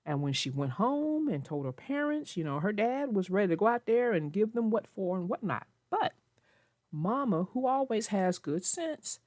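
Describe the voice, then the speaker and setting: A woman narrating a children's book aloud and commenting on it, with expressive, emphatic, deliberate phrasing. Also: mid-range voice, no room sound, clean studio sound. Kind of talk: expressive storybook read-aloud with informal commentary.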